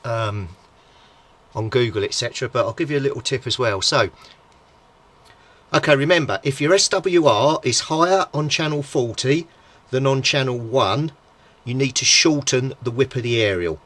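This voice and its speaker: A man's voice: mid-range voice, normal speed, fairly bright tone. A British man giving an informal, hands-on tutorial, explaining things step by step and repeating the key points. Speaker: CB radio hobbyist giving a tutorial. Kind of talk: informal hands-on instructional talk.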